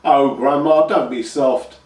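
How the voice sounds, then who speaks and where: A man's voice: mid-range voice, fairly even intonation, takes it slowly, darkish tone, close to an everyday voice. A man doing a dry, put-upon teenage peasant lad, echoey room, heard from a distance. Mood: weary. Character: mild, long-suffering teenage peasant boy.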